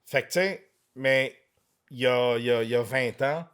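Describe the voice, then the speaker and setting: Quebec guy in his 40s, casual podcast chat, lots of swearing, trips over his words, middle pitch. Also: normal speed, a bit bright, clean tone. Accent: Quebec French. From France. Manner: laid-back, swears a lot, stammers on repeated words. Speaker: guest.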